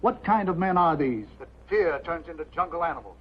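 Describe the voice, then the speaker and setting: An actor playing a stern, practical newspaper editor in a 1950s television drama, firm and admonishing. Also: male voice, a little high, expressive, brisk, breathy edge. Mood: grave. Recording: muffled, noisy 1950s television soundtrack.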